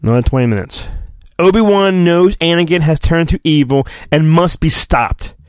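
A man's voice: fairly high pitch, moderate pace, dry recording, studio quality. A man hosting a podcast, giving a hammy, irreverent storybook reading with joking asides. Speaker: podcast host.

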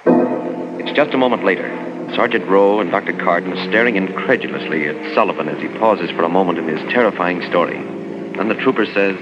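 An actor playing the grave narrator of a 1940s radio horror show, with dramatic, solemn delivery. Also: male voice, low voice, brisk, close to an everyday voice. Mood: ominous. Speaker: narrator, announcer. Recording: muffled old radio recording, loud music.

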